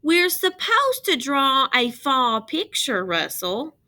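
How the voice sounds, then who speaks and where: A woman's voice: high voice, big pitch swings, slow pace, sharp tone, pitched above the performer's own voice, heavily acted. A woman voicing an upset, indignant young schoolgirl in a storybook, whining and exclaiming. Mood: annoyed, scolding.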